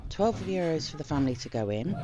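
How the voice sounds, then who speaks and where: A woman's voice: deep voice, sing-song, quick pace, mellow. A British woman giving casual travel vlog commentary in a wry, understated way. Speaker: travel vlogger.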